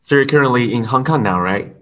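A man hosting a podcast, talking in a hesitant, halting way. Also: mid-range voice, fairly bright tone, far from the mic. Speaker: podcast host. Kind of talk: casual podcast interview.

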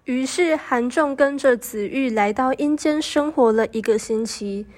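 A woman's voice: sits high, measured pace, darkish tone. A young woman reading scripted narration for a student radio drama. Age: young adult.

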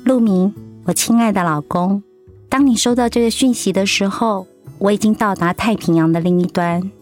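An actress plays a sincere middle-aged woman, a loving wife and loyal friend in a radio play. Her mid-range voice is soft and measured. Faint music sits under the speech. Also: medium pitch, natural voice. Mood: tender, earnest. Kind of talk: radio drama acting. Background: background music.

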